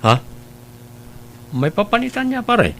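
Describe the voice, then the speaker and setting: Man playing a tipsy, higher-pitched Ilocano drinking buddy. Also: very animated, a little slow, gravelly, fairly bright tone, a little hiss or hum, exaggerated voice, poor audio. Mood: jokey. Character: tipsy male drinking buddy, easygoing and jokey. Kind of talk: Ilocano radio comedy drama acting.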